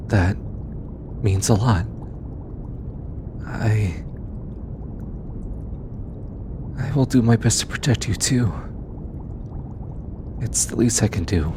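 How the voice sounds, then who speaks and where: Casting: male actor as kind, playful alien researcher for intimate audio roleplay; low-pitched, unhurried, eager, teasing; rumbly noise behind. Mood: touched, flustered.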